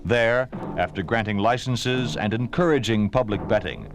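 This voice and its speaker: Solemn American radio announcer with animated delivery. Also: male voice, fairly low pitch, moderate pace. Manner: solemn, rhetorical, formal. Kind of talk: 1950s scripted radio narration. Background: music.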